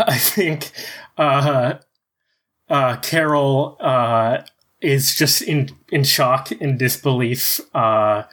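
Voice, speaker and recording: Slow-talking tabletop player, hesitant, filler-heavy narration, mid-pitched voice. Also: fairly bright tone. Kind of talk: narrating a character in a tabletop game.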